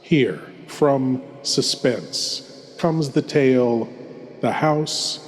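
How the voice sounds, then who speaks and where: A man playing a theatrical, sinister horror host lord, with grandiose delivery and dramatic pauses. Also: mid-range voice. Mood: ominous, theatrical. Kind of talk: old-time horror host introduction. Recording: long hall reverb.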